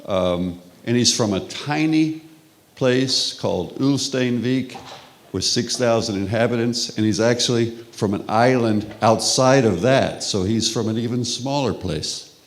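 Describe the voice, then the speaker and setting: A middle-aged man from Texas with a Texan accent, giving a relaxed, conversational conference lecture with frequent restarts. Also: medium pitch, a little slow. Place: conference hall.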